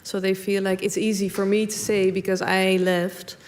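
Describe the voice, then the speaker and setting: A woman's voice: medium pitch, flat delivery, moderate pace. A young woman from the Netherlands, talking hesitantly and thoughtfully on stage with many fillers. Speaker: panel guest. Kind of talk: public conversation on stage.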